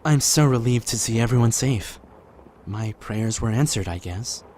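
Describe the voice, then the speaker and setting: A kind, earnest teenage boy as acted by a performer with a lively, expressive voice. He sounds friendly and open, in an amateur audio drama with faint background noise. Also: mid-range voice, normal speed, lightly acted. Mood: relieved. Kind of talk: fan audio drama, anime parody.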